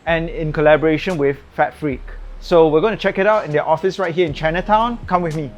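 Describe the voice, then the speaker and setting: A man's voice: on the high side, quick pace, faint music behind. A man presenting a scripted vlog introduction. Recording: dull, muffled lo-fi sound.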